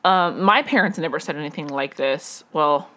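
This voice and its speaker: A young woman talks through a personal story from home in a loose, rambling way, full of fillers and quick self-corrections. Her voice sits a little low for a woman. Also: sharp tone.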